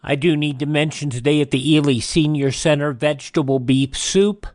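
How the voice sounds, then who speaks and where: Casting: male local radio morning host, slightly high voice, unhurried and measured, dry close studio sound. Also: fairly even intonation, a little mellow, no room sound. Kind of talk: live local radio announcing.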